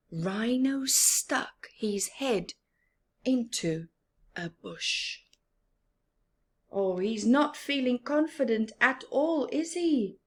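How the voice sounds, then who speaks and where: A woman reading a picture book aloud expressively for young children, pausing for asides to her listeners. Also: on the high side. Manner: slow, deliberate storyteller addressing small children. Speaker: children's story reader.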